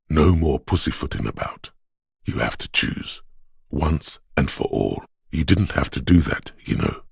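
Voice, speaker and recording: An extremely deep, gravel-edged inner voice of willpower for a video game. It counsels like a stern but encouraging mentor, measured and solemn, with a heavy husk in the tone and a dry, close sound. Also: male voice, no room sound, exaggerated voice. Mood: grave reproach. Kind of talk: scripted game narration, second-person counsel.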